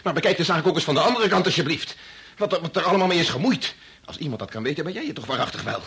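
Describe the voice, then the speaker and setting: An actor playing a scientist's defiant, anguished grown son in a radio drama, with a challenging, questioning manner. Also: male voice, on the high side, expressive, very fast, a bit bright, slightly rough, exaggerated voice. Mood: defiant, indignant. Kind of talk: Dutch radio drama acting.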